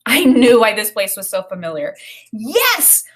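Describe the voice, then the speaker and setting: Animated, high-voiced woman reading aloud. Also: unhurried. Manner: expressive, dramatic. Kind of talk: expressive children's story read-aloud with comments.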